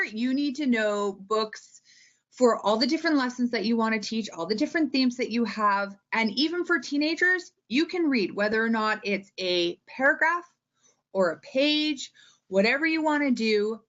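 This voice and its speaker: Female Canadian presenter, higher-pitched, animated and informal teaching delivery, slightly dull lo-fi sound. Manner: animated, expressive, digressive. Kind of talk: informal instructional talk.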